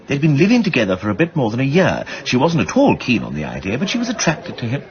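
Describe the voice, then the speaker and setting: An actor playing a well-bred, insistent amateur sleuth in a radio drama, with an upper-class English accent and a plummy, drily witty delivery. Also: male voice, mid-range voice, wildly swooping pitch, fast talker, lo-fi sound. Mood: insistent, indignant. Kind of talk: British radio drama acting.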